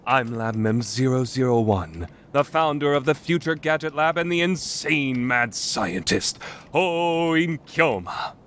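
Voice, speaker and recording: A man's voice: mid-range voice, measured pace, fairly bright tone, slight background noise, close to an everyday voice. Man acting a pompous, theatrical young mad scientist. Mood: grandly announcing. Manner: pompous, dramatic declarations. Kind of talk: anime fan dub acting.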